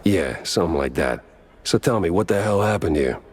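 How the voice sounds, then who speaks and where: An actor playing a hard-boiled, world-weary private detective mouse in a noir game, deadpan and sardonic. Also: male voice, low voice, fairly quick, slightly rough. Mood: dry, weary. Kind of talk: noir game narration and dialogue.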